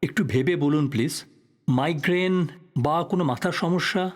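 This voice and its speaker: A man's voice: a little high, normal speed, soft background music, natural voice. A man playing a polite but persistent investigative journalist in an audio drama, asking courteous questions conversationally. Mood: probing, courteous. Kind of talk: Bengali audio drama acting.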